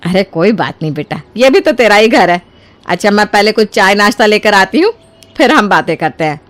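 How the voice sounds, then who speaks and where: A woman playing a kindly older mother-in-law in a story, with an affectionate, coaxing, expressive manner. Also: medium pitch, a little fast, lightly acted. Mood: welcoming.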